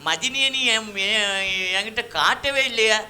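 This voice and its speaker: Guy doing pushy teasing village bloke in a puppet comedy, high sharp voice, country banter, slight noise. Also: measured pace, heavily acted. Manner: bantering, colloquial. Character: nosy, teasing village man puppet, pestering his friend. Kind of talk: comic Tamil puppet banter in rural dialect.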